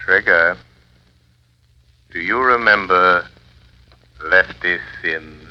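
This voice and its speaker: Ominous invisible crime-fighter, lowish voice, very measured pace, commanding menace, vintage radio drama. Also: male voice. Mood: calm menace. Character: ominous invisible male avenger, commanding and unhurried. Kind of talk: 1930s radio drama acting. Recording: dull old 1930s radio recording.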